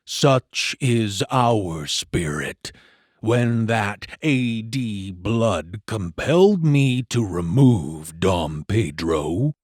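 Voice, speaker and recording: A man giving a dramatic, deliberate and grandly phrased reading of narrative verse. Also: on the low side, expressive, no echo, studio quality. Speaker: poetry reader in a group recording.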